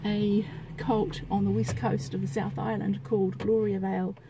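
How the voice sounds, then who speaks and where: A woman's voice: medium pitch, little pitch movement, moderate pace. A woman chatting casually and matter-of-factly in an outdoor vlog. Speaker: vlogger. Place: outdoors by a suburban road beside a car. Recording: outdoor roadside noise, passing vehicles.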